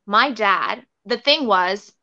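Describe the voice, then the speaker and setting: A woman's voice: mid-range voice, animated, slow pace, sharp tone, no room sound, dull audio. A young woman raised in Canada with Thai as her first language, telling a story as an interview guest in a rambling way, full of "like" and "um" and restarts. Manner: rambling, filler-heavy with many restarts.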